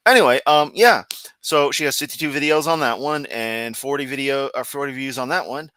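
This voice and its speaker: A man's voice: medium pitch, brisk, pushed and bright, no echo. A man hosting a casual live stream, rambling and sarcastic while clicking around, with a sore throat. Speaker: livestream host.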